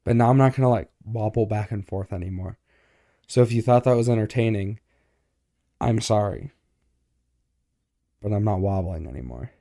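A young man co-hosting a podcast in casual chat, joking and informal, with a boomy voice close to the microphone. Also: expressive, normal speed, pauses often, warm tone, no echo. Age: young adult. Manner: joking, informal, self-interrupting. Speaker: podcast co-host.

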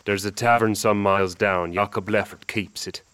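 A man's voice: lowish voice, steady tone, moderate pace, fairly bright tone, clean tone, dry recording, close to an everyday voice. An actor playing a surly, suspicious backwoods man who speaks clipped rustic dialect with Dutch words mixed in, in a radio drama. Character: surly backwoods older brother, suspicious and menacing.

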